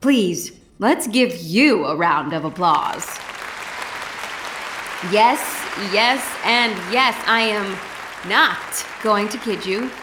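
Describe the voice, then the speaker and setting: A woman playing an effusive, theatrical Broadway director giving a gushing, grandiose speech with dramatic pauses. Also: medium pitch, lively intonation. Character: effusive, theatrical female Broadway director addressing an opening-night crowd. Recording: echoey stage microphone with noisy crowd.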